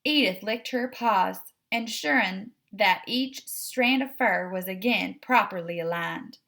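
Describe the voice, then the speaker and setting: A woman's voice: medium pitch, slow, vocal fry, bright, forceful tone. A woman hosting a read-aloud, narrating a children's storybook expressively and addressing young listeners directly.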